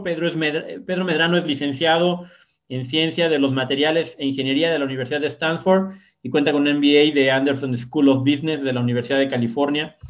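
Un hombre que modera un foro en línea presenta al ponente, repitiendo palabras y con muletillas. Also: male voice, fairly high pitch. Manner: hesitant with repeated words. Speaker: event moderator. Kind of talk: introducing a guest speaker.

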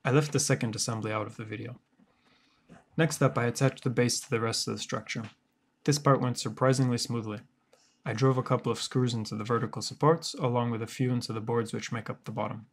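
A man giving a scripted DIY tutorial voice-over in a deadpan, wry and sarcastic manner. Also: mid-range voice, brisk. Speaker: woodworker presenting a DIY tutorial.

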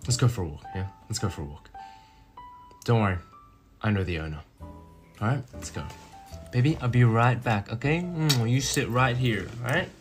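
A man's voice: mid-range voice, lively intonation, normal speed, pauses often, over music, natural voice. A man playing a crime boss boyfriend in a roleplay skit, doting on his girlfriend with baby talk and menacing toward everyone else. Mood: tender, protective. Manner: switches between sugary baby talk and cold threats.

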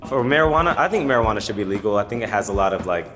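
Confident man, low voice. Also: animated, rapid-fire, a bit bright. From USA. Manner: confident, direct opinions. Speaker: interview guest. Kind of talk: answering questions to camera. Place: studio with grey backdrop. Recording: reverberant studio with faint music.

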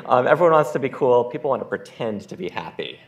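Gravelly man with wry humor. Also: mid-range voice, very animated, fast talker, muffled recording. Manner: wry, laughing asides, casual. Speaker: conference keynote speaker. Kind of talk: live demo presentation. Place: large lecture hall.

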